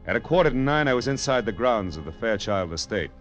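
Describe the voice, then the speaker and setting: A dull vintage radio recording carries a tough male private detective. He has a lowish voice and a dry, wisecracking delivery in 1940s noir radio style. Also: big pitch swings, fast talker, faint music behind. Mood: wry narrating. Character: wry, hard-boiled male private detective narrator.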